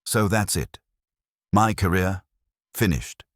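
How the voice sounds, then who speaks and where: A man voicing a humbled, deflated art impresario in an audiobook, subdued and halting. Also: low voice, no echo, studio quality. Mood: defeated.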